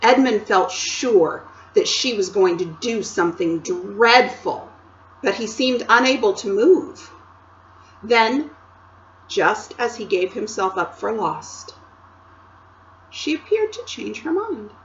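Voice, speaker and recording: A woman teacher reading a chapter book aloud to children, with expressive storytelling and chatty asides to her listeners. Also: mid-range voice, slow, highs cut off, a little hiss or hum.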